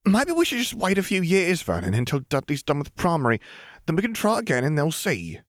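A man's voice: on the high side, wildly swooping pitch, a little fast, no room sound, clean studio sound, voice pushed up. A man voicing an anxious middle-aged suburban aunt, placating and pleading, in a story reading.

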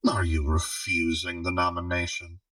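A man voicing an imperious, calculating woman crime baron in an audiobook, husky, cool and commanding. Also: low voice, level tone, normal speed, fairly bright tone, dry recording, distant mic. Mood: pointed, expectant.

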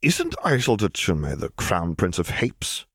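A man's voice: low voice, huge pitch swings, slow pace, fairly bright tone, slightly rough, no room sound, studio quality, full character voice. A man voicing a formal, anxious Imperial officer who sounds stiff and bewildered, in an audiobook story. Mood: puzzled.

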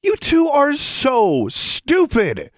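A man's voice: mid-range voice, animated, normal speed, no room sound, studio quality, lightly acted. Man as a bold, demanding teenage girl. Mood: exasperated. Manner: blunt, demanding, firm. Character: bold, confrontational teenage girl, firm leader.

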